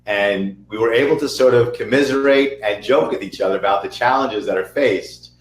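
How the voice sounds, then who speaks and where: A man hosting a webinar interview, chatty, energetic and jokey. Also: lowish voice, moderate pace, reverberant, rough recording. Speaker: webinar host. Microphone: video call.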